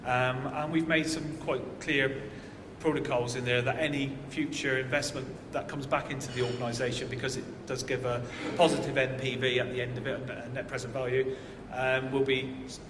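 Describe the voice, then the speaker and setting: A man's voice: medium pitch, little pitch movement, normal speed, hardly any pauses. A man answering audience questions at a conference, hesitant with many fillers. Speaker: housing association manager answering questions. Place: conference hall. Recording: echoey hall with audible noise.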